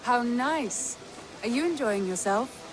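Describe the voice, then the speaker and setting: A woman playing a composed, loving Norse stateswoman in a video game, with formal, deliberate and sincere delivery. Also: a little high, animated, over music. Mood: warm, relaxed. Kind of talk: video game dramatic dialogue. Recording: outdoor ambient noise behind voice.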